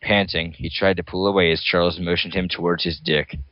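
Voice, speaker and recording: A man's voice: deep voice, flat delivery, fairly quick, no room sound. A man giving a dramatic reading of a story as its narrator. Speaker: reader. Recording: dull lo-fi close recording.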